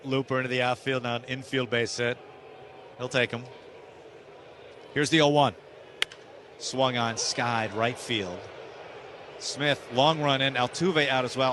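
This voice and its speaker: A man's voice: medium pitch, noisy background. A man with an American accent calling live baseball play-by-play on radio in short, clipped phrases.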